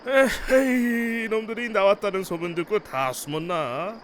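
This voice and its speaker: A man's voice: high voice, a little slow, fairly bright tone, slight background noise. A man playing an easygoing, chatty night angler in a visual novel, with a folksy, teasing manner. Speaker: supporting character in a visual novel. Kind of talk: acted casual dialogue. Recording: faint night insect ambience behind voice.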